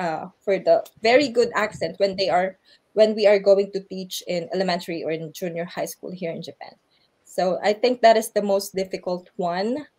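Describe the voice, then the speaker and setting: A woman's voice: mid-range voice, a little mellow. Filipino woman answering on a video call. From Philippines. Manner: earnest, advising, laughs often. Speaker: guest speaker, English teacher in Japan. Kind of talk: online orientation interview answers.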